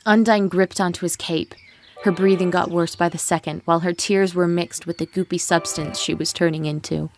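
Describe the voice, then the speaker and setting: Low-voiced woman narrating a dramatic story. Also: steady tone, normal speed. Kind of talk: scripted dramatic fan fiction narration. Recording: music bed with birdsong behind.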